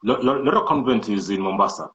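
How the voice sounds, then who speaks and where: A Kenyan-accented man from the coast whose first language is Swahili, speaking in a fairly low voice. He talks in a measured, thoughtful way, often restarting his sentences as he works through his answer. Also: animated, a bit bright, muffled recording. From Kenyan coast, Malindi. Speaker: guest entrepreneur. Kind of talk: casual interview talk.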